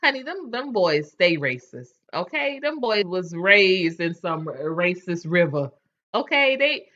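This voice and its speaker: A woman's voice: on the low side, sing-song, unhurried, bright, forceful tone, dull audio. A woman hosting a casual chat, candid and chatty, often restarting her sentences. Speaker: host.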